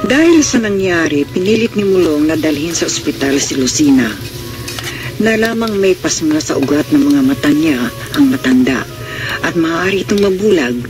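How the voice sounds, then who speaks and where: An actor as a solemn storytelling narrator with a Filipino accent, reading scripted classic radio drama narration. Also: male voice, fairly high pitch, animated, normal speed, lightly acted. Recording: radio drama with music behind.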